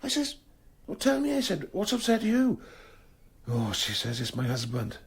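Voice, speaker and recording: An actor playing a gossipy, ageing Welsh village shopkeeper, telling tales in a confiding, neighbourly way in a radio drama. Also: male voice, high voice, normal speed, husky, full character voice. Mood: confiding, dramatic. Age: older. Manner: animated, confiding storytelling.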